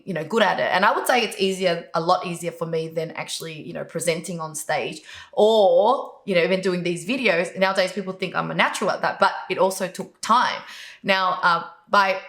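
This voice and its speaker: A woman's voice: fairly low pitch, a bit bright, echoey room. A middle-aged woman from Vietnam, the show's host, answering interview questions in a chatty way and laughing now and then. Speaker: host, business coach.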